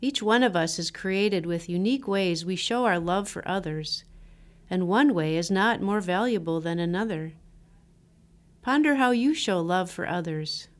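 A woman pastor reads scripture and a reflection in a scripted devotional style, with measured, deliberate phrasing. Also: on the low side, expressive.

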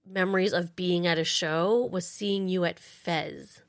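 A woman hosting a casual interview, chatty and quick to laugh. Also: lowish voice, fairly even intonation. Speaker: podcast host.

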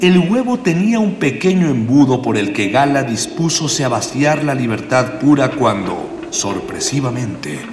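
A man's voice: medium pitch, big pitch swings, a little slow, hardly any pauses, slight background noise. A man narrating a scripted radio drama in a florid, mock-epic storytelling manner. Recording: echoey long reverb with loud music.

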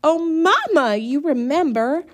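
Brief: woman voicing a prim, bossy big sister, slow and sweetly drawn out. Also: high voice, very animated, voice pushed up, full character voice. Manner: prim, syrupy sweet when wheedling.

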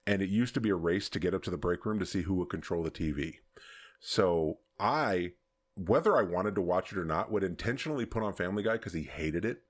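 A man's voice: deep voice, fairly quick. A man hosting a podcast, telling stories in a casual, profane way and laughing often. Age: adult, hoping to see future grandkids. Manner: profane, animated storytelling with frequent laughs. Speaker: podcast host.

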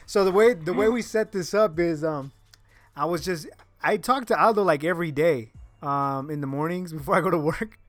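Relaxed man hosting, dry close sound. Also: a little high, normal speed, no room sound. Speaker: podcast host. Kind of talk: casual chat.

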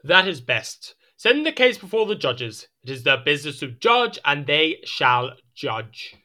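Period audio drama: petulant male monarch. Higher register, very animated, haughty and quick to exasperation. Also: heavily acted. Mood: decisive. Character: petulant, imperious, easily irritated king.

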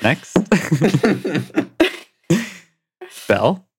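A man's voice: a little high, sing-song, drawn-out words, heavy background noise. Easygoing chat from a male podcaster. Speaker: podcast co-host. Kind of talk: casual group chat.

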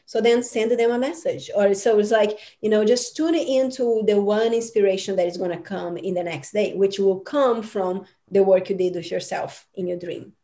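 Mellow-voiced woman, Portuguese native speaker. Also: mid-range voice, normal speed, few pauses. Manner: rambling, filler-heavy, earnest coaching tone. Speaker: Akashic records teacher. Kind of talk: casual interview answers.

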